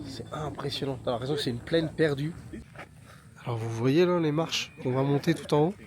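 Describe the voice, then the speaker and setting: A man's voice: medium pitch, brisk, crowd noise. A man with a head cold, vlogging outdoors in a casual, marvelling way. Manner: excited, marvelling, mixes in simple English. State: has a head cold, stuffy. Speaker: travel vlogger. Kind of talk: casual vlog narration. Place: outdoors on a volcanic plain. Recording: noisy outdoor recording.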